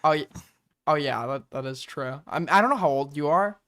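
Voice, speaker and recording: High-voiced, smooth streamer man. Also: moderate pace, fairly bright tone, no room sound, clean studio sound. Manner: pressing, repeats words, talks over others. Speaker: streamer host. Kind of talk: heated casual debate.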